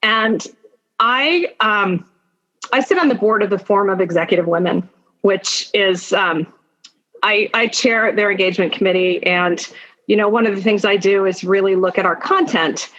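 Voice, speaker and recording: A woman speaking as an interview guest in a conversational, informal way, thinking aloud in halting phrases with many asides. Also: mid-range voice, a bit bright. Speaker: podcast guest, attorney and executive. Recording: dull lo-fi sound.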